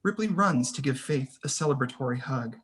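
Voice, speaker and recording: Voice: medium pitch, animated, a little fast, fairly bright tone. A person reading scene headings and stage directions as scripted narration for a screenplay table read.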